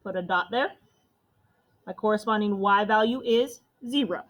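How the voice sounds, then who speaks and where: A young woman with a loud voice, teaching a math class step by step. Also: mid-range voice, moderate pace. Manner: step-by-step explaining to students.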